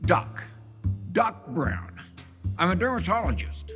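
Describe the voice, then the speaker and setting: A man playing an older dermatologist with a husky voice and an earnest, eager way of asking. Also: high-pitched, very animated, slow, fairly bright tone, long reverb tail, soft background music, full character voice. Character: nervous older male dermatologist seeking tax tricks.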